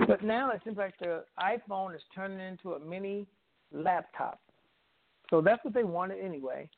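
Gravelly man chatting over a phone line. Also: a little high. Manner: hesitant, full of ums. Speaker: co-host. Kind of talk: casual tech news chat.

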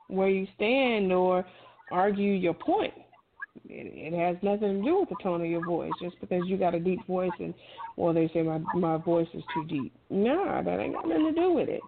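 A middle-aged woman talking casually, giving emphatic, encouraging advice in a colloquial way. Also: lowish voice. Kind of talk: casual conversational talk, advice. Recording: thin phone-like sound with clear noise.

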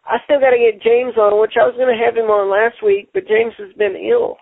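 A man hosting a casual radio show, talking haltingly and repeating words, with many fillers. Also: very high voice, fairly even intonation, vocal fry, no echo. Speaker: show host. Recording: tinny phone-line sound.

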